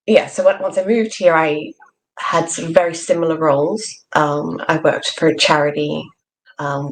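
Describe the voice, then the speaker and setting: A woman's voice: sits low, slight background noise, poor audio. A woman in her thirties with an Australian accent, a guest talking reflectively in an interview with many ums. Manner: fluent, reflective, lots of ums and "sort of". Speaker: trainee psychologist guest. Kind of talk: interview conversation.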